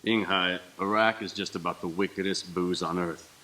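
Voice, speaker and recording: An actor playing a wry ex-Marine in a live audio drama script reading. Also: male voice, deep voice, steady tone, normal speed, sharp tone. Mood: wry warning. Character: wry ex-Marine warning about strong liquor.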